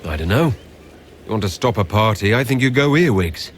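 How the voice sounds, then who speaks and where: A man's voice: fairly low pitch, expressive, a little fast, a little hiss or hum, close to an everyday voice. An actor playing a diplomatic, businesslike male leader in a fantasy video game. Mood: composed. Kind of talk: video game dialogue acting.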